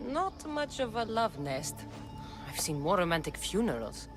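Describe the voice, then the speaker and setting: A woman's voice: mid-range voice, sing-song, unhurried. An actress playing a tough, wry female mercenary hero of ancient Greece in a video game, with a blunt, resolute delivery. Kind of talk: dramatic video game cutscene acting. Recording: loud background music.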